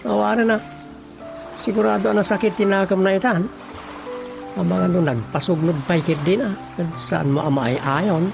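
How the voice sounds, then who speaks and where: Creaky, brooding Ilocano character. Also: male voice, high voice, normal speed. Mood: concerned. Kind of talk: Ilocano comedy radio drama acting. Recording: loud music behind the voice.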